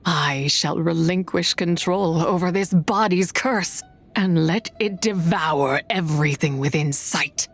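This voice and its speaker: Game casting: cursed female immortal, imperious, formal, slow delivery over music. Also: on the low side. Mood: ominous threat. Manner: solemn, imperious, archaic formal phrasing. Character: cursed, wrathful ancient immortal woman challenging mortals. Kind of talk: dramatic game voice acting.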